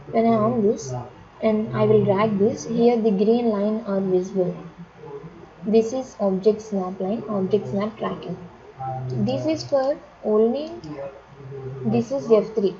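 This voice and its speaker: A woman instructor walks through software steps at a slow pace. She speaks haltingly, restarting and repeating phrases. The sound is dull and lo-fi, with plenty of chatter from other people in the background. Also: medium pitch. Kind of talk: step-by-step screen tutorial on drafting software.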